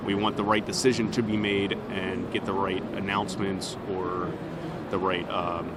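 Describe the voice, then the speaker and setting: A man's voice: sits low, level tone, normal speed, heavy background noise. A man giving an unscripted, step-by-step demonstration of a product. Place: indoor trade show booth. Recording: busy trade show crowd behind.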